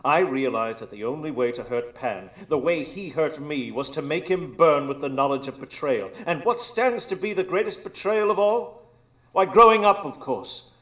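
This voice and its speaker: A grandiose, scheming old pirate villain. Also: male voice, a little high, animated, normal speed, fairly bright tone. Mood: gloating. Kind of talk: live radio drama acting.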